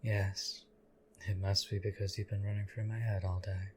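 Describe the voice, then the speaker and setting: Man playing a deep, flat-voiced stoic knight. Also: slight background noise, close to an everyday voice. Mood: stoic flattery. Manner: deadpan, formal, measured, archaic courtly phrasing. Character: stoic, loyal male knight, formal and devoted. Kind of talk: scripted audio roleplay addressed to the listener.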